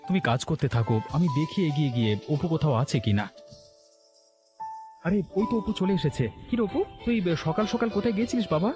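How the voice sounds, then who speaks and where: A man playing a gentle, worried village father in a cartoon, with a concerned and soothing manner. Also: fairly high pitch, lively intonation, fast, over music, lightly acted. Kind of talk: Bengali cartoon dialogue.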